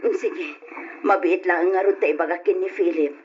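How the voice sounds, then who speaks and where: A woman's voice: on the low side, normal speed, darkish tone, natural voice. An actress playing a caring, fretful older rural wife and mother, fussing and coaxing in an Ilocano radio drama. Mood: concerned, caring. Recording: lo-fi old radio, background hiss.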